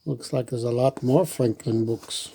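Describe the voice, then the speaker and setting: A man's voice: mid-range voice, steady tone, unhurried, vocal fry, mellow, a little hiss or hum. A man hosting storytime, reading a children's book and adding folksy, chatty asides. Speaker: storyteller and host.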